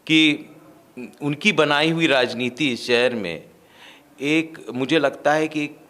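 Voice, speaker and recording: A man's voice: on the high side, slow, fairly bright tone. A man from India answering interview questions as a political candidate, speaking earnestly with fillers and restarts. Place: his family home.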